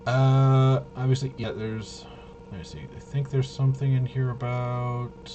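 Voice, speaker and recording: A man giving a casual, unscripted walkthrough as a host, rambling and halting, with muttered asides to himself. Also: medium pitch, level tone, highs cut off. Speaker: host of a tabletop RPG review video.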